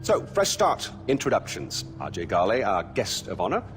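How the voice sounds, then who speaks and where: A man's voice: fairly low pitch, wildly swooping pitch, moderate pace, soft background music. A man playing a flamboyant, middle-aged tyrant in a video game cutscene, theatrically polite, mocking and volatile. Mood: smooth, charming. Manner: theatrical, affected politeness breaking into swearing outbursts.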